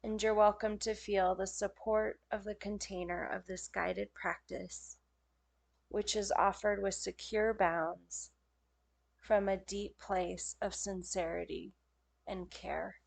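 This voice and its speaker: A woman leading a guided yoga nidra meditation as a teacher, speaking in gentle, soothing, unhurried phrases with pauses. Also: mid-range voice, little pitch movement, vocal fry.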